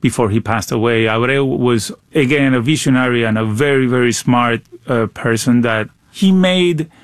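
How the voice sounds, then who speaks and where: A middle-aged man from Venezuela with a Venezuelan accent, answering interview questions in a halting way, often repeating words. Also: mid-range voice, no echo, studio quality. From Caracas, Venezuela. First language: Spanish. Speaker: musician, interview guest. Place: radio studio.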